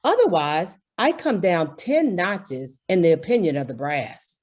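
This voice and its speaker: A woman's voice: lowish voice, very animated, measured pace, darkish tone. A woman playing a harried, earnest department store executive in an old-time radio drama, exasperated and emphatic. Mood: exasperated, pleading.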